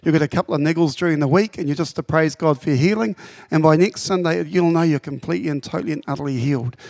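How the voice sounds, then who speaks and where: Brief: incoming pastor from Papua New Guinea, raised speaking Tok Pisin, fervent and heartfelt, slightly high voice, dry sound. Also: male voice, fast, creaky, a little mellow, dry recording. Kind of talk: address to congregation. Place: church.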